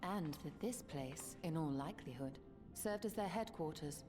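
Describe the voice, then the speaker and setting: A woman voicing a composed, inquisitive scholar in formal, deliberate fantasy game dialogue. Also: deep voice, animated, music in the background. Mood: composed, curious. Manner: deliberate, serious.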